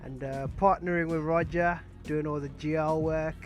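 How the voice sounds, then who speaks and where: A relaxed man introduces himself as a guest on a gospel radio show, laughing easily. His voice is a little high. Music plays clearly beneath the studio chat. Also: moderate pace. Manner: laid-back, laughing easily. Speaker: guest, clothing line owner. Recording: music under the studio talk.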